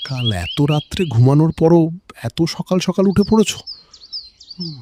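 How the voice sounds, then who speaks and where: A man playing a bashful, earnest young man in love, tender and curious, in an animated romantic ghost story. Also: fairly high pitch, moderate pace, a little hiss or hum, lightly acted. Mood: warm, playful.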